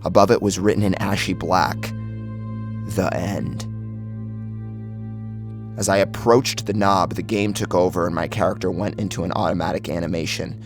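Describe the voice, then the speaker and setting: A man's voice: deep voice, steady tone, fairly quick, hardly any pauses. A man narrating a first-person horror story in a grave, intense, dramatic manner. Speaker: audiobook narrator. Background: music.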